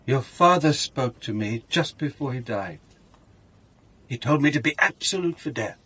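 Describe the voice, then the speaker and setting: A man's voice: mid-range voice, expressive, moderate pace, fairly bright tone, husky. An actor playing a wry, world-weary police inspector who narrates his own story in a radio drama. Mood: probing. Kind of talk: radio drama acting with first-person narration.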